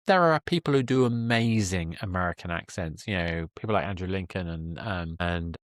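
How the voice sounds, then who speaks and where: A British man hosts a conversational interview. His voice sits a little low and the sound is very dry. He explains his thoughts at length, circling around a point as he talks. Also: moderate pace, no echo. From Britain. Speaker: podcast host.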